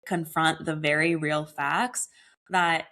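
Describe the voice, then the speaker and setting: Woman, young adult, podcast host, slightly hoarse, raspy from a cold, somewhat low pitch, earnest conversational monologue. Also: fairly even intonation, slow pace, sharp tone. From United States. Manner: earnest, conversational, uses casual "y'all" and "like". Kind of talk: solo wellness podcast monologue.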